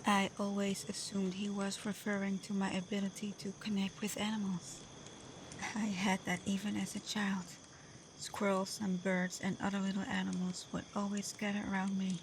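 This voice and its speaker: A woman's voice: medium pitch, completely flat delivery, normal speed, noisy background, natural voice. Woman acting as tender, whispery young fox-spirit woman. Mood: wistful, hesitant. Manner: breathy, emotional delivery often dropping into whispers. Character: young half-blood kitsune woman, tender, devoted and vulnerable. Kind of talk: ASMR roleplay voice acting addressed to the listener.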